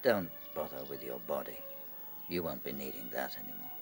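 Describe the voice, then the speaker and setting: A man playing a calm, gentle otherworldly rabbit lord in a radio drama, speaking in a soothing, reassuring, gravelly voice. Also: moderate pace. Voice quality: deep, gravelly. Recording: music behind the voice.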